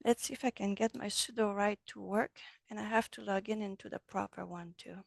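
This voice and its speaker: A woman with a French accent, a native French speaker, teaching a relaxed online software class over a video call. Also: medium pitch, fairly quick, gravelly, no echo, clean studio sound. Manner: friendly, loose, switches between English and French. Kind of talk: casual online class walkthrough.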